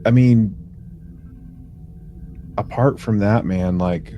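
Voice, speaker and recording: A man co-hosting a podcast, casually recapping in a halting way, as if thinking aloud. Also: fairly low pitch, dull audio, slight background noise. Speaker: podcast co-host. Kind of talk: casual chat recapping a sci-fi audio drama.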